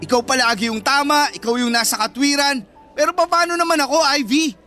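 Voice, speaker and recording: A man's voice: extremely high pitch, a little fast, bright, forceful tone. A man acting a fed-up but protective teenage boyfriend in a Filipino radio drama, emphatic and scolding. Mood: exasperated.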